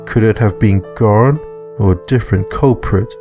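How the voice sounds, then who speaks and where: A man's voice: on the low side, moderate pace, music in the background, close to an everyday voice. A man voicing a big, mild-mannered male animal in a visual novel, subdued and thoughtful. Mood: uneasy, pensive.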